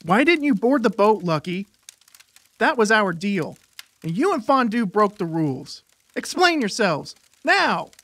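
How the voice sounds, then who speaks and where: A woman's voice: mid-range voice, big pitch swings, unhurried, a bit bright. A woman voicing a stern teenage boy who leads his group in an audiobook, demanding and firm. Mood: angry, demanding. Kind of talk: audiobook character acting.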